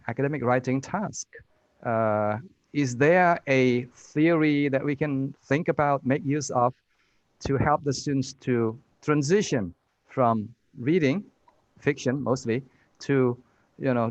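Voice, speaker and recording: A man moderating a panel, putting questions to the speakers in a measured way. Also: mid-range voice, no echo. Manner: measured, asks questions, frequent listening murmurs. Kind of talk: panel discussion.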